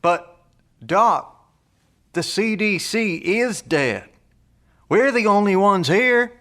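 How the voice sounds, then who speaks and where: A man's voice: sits high, animated, slow pace, sharp tone, full character voice. A man acting in an audio drama as a down-to-earth, blunt survivor who talks in a casual, plain way. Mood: plain, frank. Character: laid-back, down-to-earth male truck-owning survivor, blunt.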